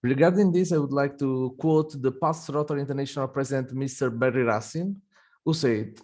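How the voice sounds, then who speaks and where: A man's voice: medium pitch, a little fast, a little mellow. A man hosting an online meeting, reading a formal welcome speech with a few restarts. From Italy. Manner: reads prepared remarks, occasional restarts. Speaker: event host.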